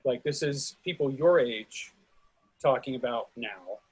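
A middle-aged man giving informal feedback on a play reading in a halting, thoughtful, sincere way. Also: mid-range voice, darkish tone, breathy edge, low-quality recording.

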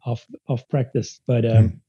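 Hesitant soft-voiced man, native Spanish speaker. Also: mid-range voice, steady tone, unhurried, husky, highs cut off. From Spanish-speaking country, raised in Sweden. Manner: hesitant, many restarts and fillers. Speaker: guest. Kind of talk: casual interview.

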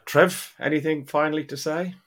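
A middle-aged man hosting a podcast in casual chat, halting and jokey as he goes. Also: on the high side, steady tone, a bit bright. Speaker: podcast host.

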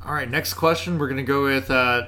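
A man's voice: medium pitch, steady tone, fairly quick, bright, forceful tone. A man hosting a podcast, asking questions in a laid-back, joking way with many fillers. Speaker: podcast host. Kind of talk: casual interview conversation.

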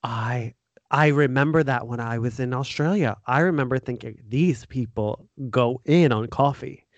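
A man's voice: mid-range voice, moderate pace, dry recording, highs cut off. An American man hosting a podcast, interviewing in a casual, informal and enthusiastic conversational way. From United States. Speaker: podcast host.

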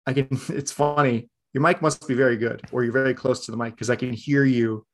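A man's voice: mid-range voice, fairly even intonation, a little fast. A man hosting a casual podcast, talking in a laid-back conversational way. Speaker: podcast host.

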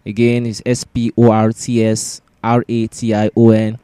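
A man's voice: lowish voice, monotone, normal speed, noisy background. A man hosting a casual sports discussion podcast, often repeating words and phrases for emphasis.

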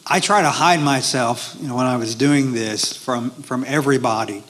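A man talks through an interview in halting steps, leaning on frequent fillers between phrases. His voice sits at an ordinary middle pitch. He describes his stage work plainly. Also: fairly bright tone, a little hiss or hum. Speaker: performer of a one-man history show, interview guest. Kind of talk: casual interview talk.

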